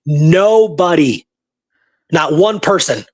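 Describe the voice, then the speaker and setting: Guy chatting history on a show, kinda high voice, emphatic, close dry sound. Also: animated, slow, no room sound. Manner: emphatic, repeats points for stress. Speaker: co-host of a history talk show. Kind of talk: casual conversational history discussion.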